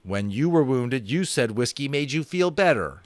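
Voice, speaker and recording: A man voicing an exasperated young boy with a sharp, scolding way of talking. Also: medium pitch, animated, moderate pace, no room sound, pitched above the performer's own voice, natural voice. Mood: coaxing. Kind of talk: audiobook character voice.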